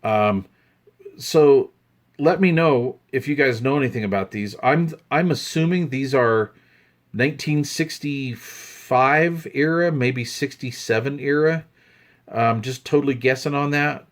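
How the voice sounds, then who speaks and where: A man's voice: medium pitch, a little slow. A man in his fifties with a Western American accent, chatting in a rambling, conversational way as he shows off a hobby find. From United States, Utah. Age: 50s. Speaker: hobbyist video host. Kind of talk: casual hands-on show-and-tell. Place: indoors at a wooden desk.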